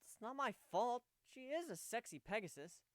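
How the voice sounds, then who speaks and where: A man's voice: very high voice, very animated, normal speed, fairly bright tone, dry recording, voice pushed up, exaggerated voice. A man voicing an earnest, bashful pegasus stallion, hesitant and sincere, in a dramatic fan fiction reading. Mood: flustered. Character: earnest, bashful male pegasus pony, devoted fiancé.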